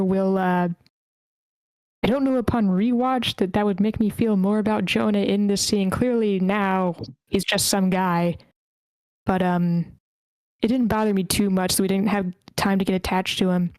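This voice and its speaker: A woman with a mid-pitched voice hosts an online script read. She gives casual, self-deprecating feedback in plain, unpolished language with some swearing. The sound is dry, with very little room in it. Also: darkish tone, no room sound, poor audio. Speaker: host of an online screenplay table read. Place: online group meeting.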